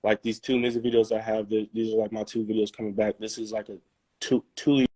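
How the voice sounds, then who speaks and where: A young man, a musician guest in a casual interview, telling his story in a halting way full of restarts and fillers. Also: on the low side, flat delivery, dark tone, no room sound, low-quality recording.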